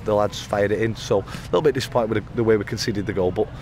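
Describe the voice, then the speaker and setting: A man in his thirties, a football manager giving a post-match interview outdoors by the pitch at night, talking in rambling runs full of fillers and restarts. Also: fast talker. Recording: outdoor interview with loud background noise.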